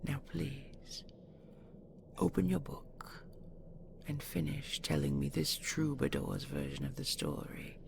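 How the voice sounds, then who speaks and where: A woman playing a possessive, seductive dragon queen in an intimate audio roleplay, slow, doting and imperious, with a breathy, gravelly whisper. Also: low-pitched, big pitch swings, a little hiss or hum, heavily acted. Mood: commanding, doting.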